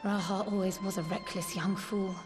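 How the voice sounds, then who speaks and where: Game role: grave female scholar actress, mid-pitched voice, measured archaic phrasing, loyal and resolute, music underneath. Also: flat delivery, close to an everyday voice. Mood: earnest, determined. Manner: formal, measured, archaic diction. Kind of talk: video game cutscene acting.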